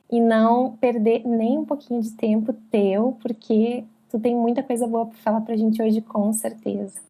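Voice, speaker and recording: A woman's voice: a little high, warm tone. A woman hosting a casual live-stream interview in an effusive, welcoming manner.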